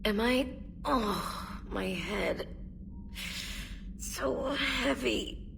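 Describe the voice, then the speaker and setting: A woman playing a hungover soldier in a video game, groggy and mumbling, halting as she pleads for quiet. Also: on the high side, creaky, background noise, heavily acted. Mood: groggy, miserable.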